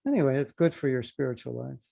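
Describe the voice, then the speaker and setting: A man, a spiritual teacher giving a relaxed, teasing lecture and Q&A over a video call. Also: medium pitch, quick pace, creaky. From United States. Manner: humorous, teasing, easygoing.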